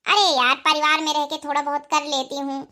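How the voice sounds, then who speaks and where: A woman's voice: sits high, flat delivery, fairly quick, bright, forceful tone. A woman voicing a vain, defiant young schoolteacher wife in a cartoon comedy, with a sassy, haughty manner. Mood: coy.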